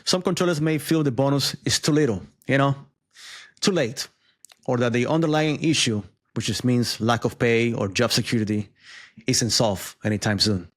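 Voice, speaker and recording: A man's voice: medium pitch, normal speed. Man explaining the news in conversational asides. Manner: conversational, explanatory, addresses viewers with questions and asides. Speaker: host of a news commentary channel. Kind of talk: news explainer commentary, semi-scripted.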